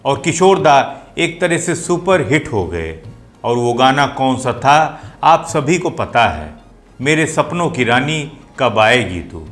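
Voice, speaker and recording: A man's voice: mid-range voice, sing-song, takes it slowly, a bit bright, soft background music. A man hosting a talk on playback singing, explaining with enthusiasm and admiring rhetorical asides.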